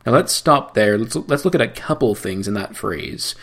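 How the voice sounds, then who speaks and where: A man teaching how to chant scripture, explaining slowly and breaking into chanted phrases. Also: on the low side, expressive, a bit bright. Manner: slow, measured explanation between chanted phrases. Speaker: cantor teaching Torah chanting.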